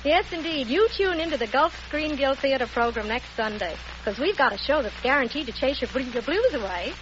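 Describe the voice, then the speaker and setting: An actress playing a cheerful receptionist on the phone in a 1940s radio promo, sing-song and upbeat. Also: female voice, sits high, animated, a little fast, a bit bright. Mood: chirpy. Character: chirpy woman receptionist on the phone. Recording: dull 1940s radio broadcast with hiss.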